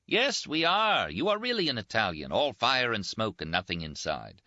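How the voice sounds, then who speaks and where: A man voicing a brash, teasing young Italian army surgeon in an audiobook, quick and boastful. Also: medium pitch, very animated, sharp tone, dry recording, dull audio, pitched above the performer's own voice. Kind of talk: audiobook character voice.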